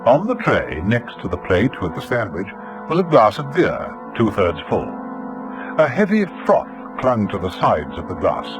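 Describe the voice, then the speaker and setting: A man narrating an old-time radio serial in a measured, dramatic storytelling manner. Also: fairly low pitch, wildly swooping pitch, over music.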